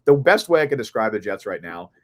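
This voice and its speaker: A man with a hoarse, raspy voice from losing it, co-hosting a sports podcast and thinking aloud in long, earnest run-on stretches. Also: fairly low pitch, very animated, quick pace. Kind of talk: casual sports analysis chat.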